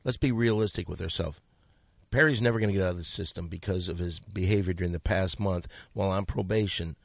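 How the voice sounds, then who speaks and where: A low-pitched man with a gravelly edge reads listener letters aloud on a podcast. His delivery is deadpan and mock-earnest, and the studio sound is dry. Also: fast, no room sound. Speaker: podcast host.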